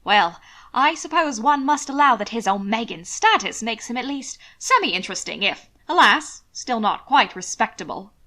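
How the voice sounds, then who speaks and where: A woman voicing a snobbish gentleman in a period audiobook, with a sneering drawl. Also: fairly high pitch, sing-song, normal speed, exaggerated voice. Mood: drawling disdain.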